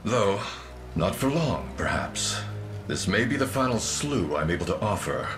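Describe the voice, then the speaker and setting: An actor playing a grave, obsessive scholar lord in a fantasy video game, speaking formally and grandly. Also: male voice, on the low side, big pitch swings, measured pace, music in the background. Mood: weary, bitter. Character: grave, driven nobleman obsessed with experiments and cure.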